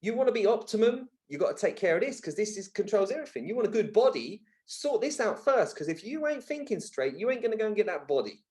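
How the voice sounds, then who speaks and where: A man with an English accent and a fairly high-pitched voice talks as a guest in a casual interview. His delivery is colloquial and blunt, with emphatic points made in everyday language. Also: very animated, fast talker, few pauses, a little mellow. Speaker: podcast guest. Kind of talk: casual conversational interview talk.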